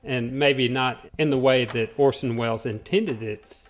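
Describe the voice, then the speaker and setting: A man's voice: medium pitch, steady tone, a little slow, faint music behind. A man from a community theater talking reflectively in an interview. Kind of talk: interview talk.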